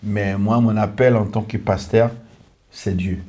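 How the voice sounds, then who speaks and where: Earnest pastor. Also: male voice, lowish voice, steady tone, a little slow, dark tone, slight background noise. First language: English. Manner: earnest, repetitive, lists in short clauses. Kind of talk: testimony in a podcast conversation.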